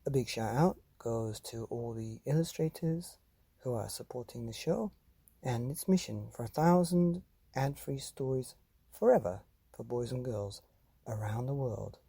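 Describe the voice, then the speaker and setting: Slow, mellow man hosting bedtime stories. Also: mid-range voice, gravelly, husky, no echo. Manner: addresses children directly, measured and deliberate. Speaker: bedtime story podcast host and reader. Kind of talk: scripted children's podcast narration.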